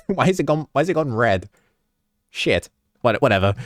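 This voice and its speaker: A man's voice: medium pitch, big pitch swings, normal speed, dry recording. A man with a stuffy, slightly sick voice, rambling through a casual behind-the-scenes talk about his video edits, often stopping himself mid-sentence and chuckling.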